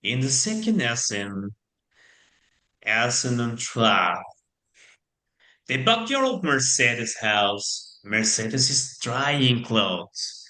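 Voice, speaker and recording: Male storyteller: theatrical, animated intonation, forceful bright voice, halting non-native English delivery. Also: mid-range voice. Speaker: narrator. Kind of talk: theatrical scripted narration.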